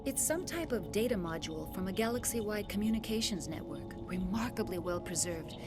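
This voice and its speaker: A composed female scientist voiced by an actress speaks earnestly in measured, articulate phrases at an ordinary pitch. It is video game dialogue, with music clearly playing underneath. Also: expressive, natural voice. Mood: awed. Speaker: scientist in charge of an excavation.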